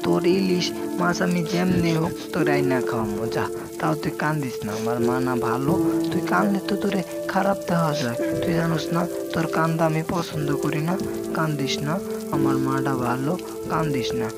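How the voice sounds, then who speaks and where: A man's voice: a little high, fairly quick, gravelly. Man acting tender village father, music underneath. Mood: soothing. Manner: soothing, coaxing, exclamatory. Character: tender, devout village father and poor farmer. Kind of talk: cartoon voice acting, drawn-out storytelling delivery.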